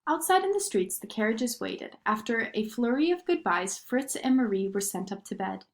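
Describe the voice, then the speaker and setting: A woman narrating a children's story in an expressive read-aloud style. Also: fairly high pitch, normal speed.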